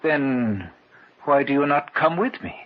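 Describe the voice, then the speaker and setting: An actor playing a solemn, mysterious foreign treasure seeker, speaking formally and with measured storytelling in an old radio drama. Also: male voice, mid-range voice, lively intonation, lightly acted. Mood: earnest, assured. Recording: muffled, hissy old radio recording.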